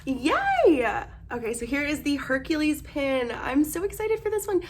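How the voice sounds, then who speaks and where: A young woman vlogger chatting casually through an unboxing, bubbly and gushing, her voice hoarse as she loses it. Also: high voice, big pitch swings, measured pace, a little hiss or hum.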